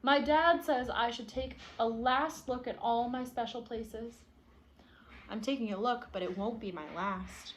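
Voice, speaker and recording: A woman's voice: on the high side, unhurried, slight background noise, close to an everyday voice. A woman reading the part of a stubborn, indignant young boy from a picture book, with emphatic delivery. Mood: wistful. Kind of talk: picture-book read-aloud.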